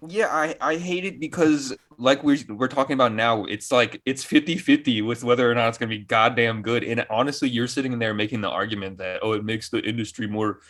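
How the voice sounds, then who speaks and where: A man's voice: mid-range voice, fairly quick, few pauses, a bit bright. A man chatting casually and bluntly with plenty of swearing, acting as quizmaster on a podcast. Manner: blunt, profane. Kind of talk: casual group banter.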